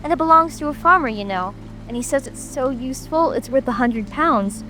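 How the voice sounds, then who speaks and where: A woman plays a well-mannered, inquisitive little girl from a storybook. The voice is very high and lilts widely, with an earnest, chatty, apologetic air. Music and rain play clearly behind it. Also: animated, a little fast. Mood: eager. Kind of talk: dramatic audiobook character reading. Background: music, rain ambience.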